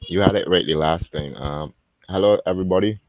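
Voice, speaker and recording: A man who is a writer, introducing himself in a relaxed, hesitant way on a video call. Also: low-pitched, brisk, dull audio. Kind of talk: self-introduction. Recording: noisy call line.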